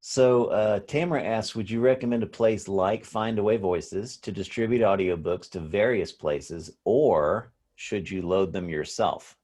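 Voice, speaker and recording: A man's voice: lowish voice, measured pace, hardly any pauses, a little mellow. A man moderating a conference Q&A over a video call, reading out audience questions and putting his own. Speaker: session moderator.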